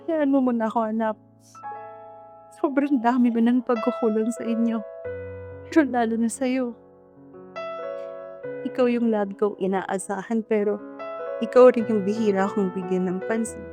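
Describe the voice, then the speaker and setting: Woman acting a remorseful Filipino mother, high voice over music. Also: steady tone, moderate pace, pauses often, natural voice. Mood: remorseful, tender. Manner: pleading, heartfelt. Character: remorseful, loving widowed mother apologizing to her eldest son. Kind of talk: emotional radio drama acting. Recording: piano music under the voice.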